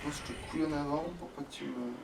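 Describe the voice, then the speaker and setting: A man with a fairly high voice commentates on his own gameplay. He talks casually, grumbles and swears freely. The microphone sits at a distance and picks up clear background noise. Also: fairly even intonation, fast. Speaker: let's play gamer.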